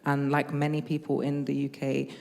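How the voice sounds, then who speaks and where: Low-key London woman, echoing hall. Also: monotone, normal speed, creaky, a little mellow. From London, England. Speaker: panel speaker, peacebuilding fellow. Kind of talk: reflective panel talk. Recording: reverberant hall.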